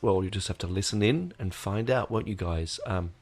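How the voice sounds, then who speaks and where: A man's voice: lowish voice, normal speed, a little mellow, slightly rough. A man hosting his podcast in casual, rambling talk, full of fillers and restarts, sounding nasal while getting over an illness. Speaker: podcast host. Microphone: desk microphone.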